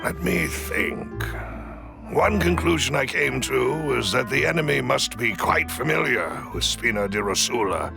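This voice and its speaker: Game butler: grave, deferential, formal, gravelly, expressive delivery. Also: male voice, mid-range voice, over music, heavily acted. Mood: humble, measured. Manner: formal, deferential, measured explaining. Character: loyal, grave male butler and shrewd confidant. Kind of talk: dramatic video game cutscene acting.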